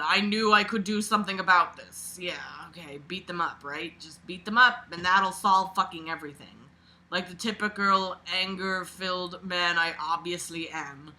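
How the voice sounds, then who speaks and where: Bright, forceful, sarcastic woman reading aloud. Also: on the low side, rough recording. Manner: expressive, sarcastic, informal and sweary. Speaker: host reading a book aloud with commentary. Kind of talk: casual mocking read-aloud with off-the-cuff asides.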